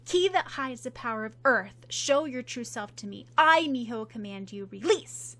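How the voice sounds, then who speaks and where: A woman's voice: fairly high pitch, expressive, normal speed, sharp tone, pitched above the performer's own voice. A woman voicing an eager young girl who talks in a raised, urgent way, worried about her missing brother. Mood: commanding.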